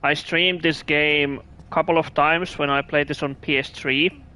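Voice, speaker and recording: Guy streaming a game, kinda high voice, chats w viewers, restarts a lot. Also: steady tone, normal speed, sharp tone, a little hiss or hum. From Finland. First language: Russian. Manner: chatty, answers viewers' chat, restarts phrases. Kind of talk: casual gameplay commentary.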